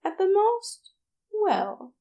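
Woman acting fond gossipy nurse, high pitch, very lively intonation, confiding, dramatic emphasis, audiobook character. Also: extremely slow, dull audio, full character voice. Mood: fond, admiring. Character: fond, gossipy nurse praising a beloved colleague.

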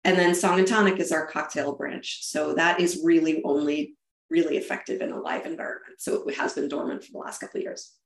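A woman's voice: low voice, steady tone, fairly quick, echoey room, rough recording. A woman from Germany, a founder talking through her event business in an interview, explaining with enthusiasm and frequent restarts. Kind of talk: conversational interview answers.

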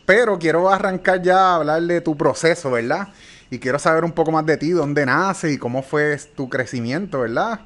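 A man hosting a live interview, asking measured questions and often checking in with the guest. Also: a little high, fairly bright tone. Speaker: interview host.

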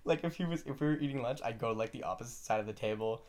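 A teenage man chatting casually as a vlogger, excitable and rambling, swearing for emphasis. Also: medium pitch, rapid-fire. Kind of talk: casual chat.

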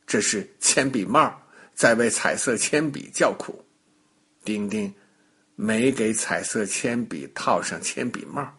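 Guy reading a kids bedtime story, really animated, takes his time, bit husky. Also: medium pitch. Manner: animated, unhurried, with gentle interjections. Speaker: children's storyteller. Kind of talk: expressive narration of a bedtime story for small children.

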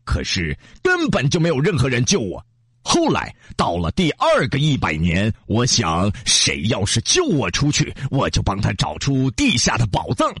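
A man's voice: medium pitch, huge pitch swings, normal speed, a bit bright, husky, no room sound, clean studio sound, heavily acted. A man voicing a gloating evil giant demon. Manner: gloating, mocking laughter.

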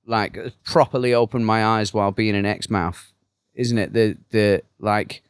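A man's voice: fairly low pitch, fairly even intonation, smooth, no room sound, studio quality. A man hosting a podcast, joking and firing off questions in casual chat. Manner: joking, asks quick questions. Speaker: podcast host.

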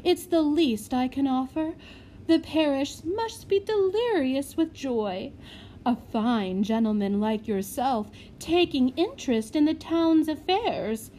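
A woman voicing a fawning, gushing matron who flatters a visiting gentleman. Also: high voice, takes it slowly, slight background noise, pitched above the performer's own voice. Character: fawning, gushing middle-aged woman running a house for children.

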